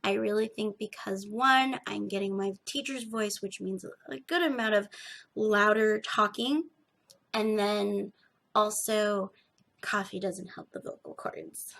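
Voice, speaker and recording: A young woman with a slightly gravelly edge, chatting casually and emphatically as a podcast host, often restarting her sentences. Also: mid-range voice, a little slow. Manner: animated, emphatic, self-interrupting. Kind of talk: casual rambling chat to viewers.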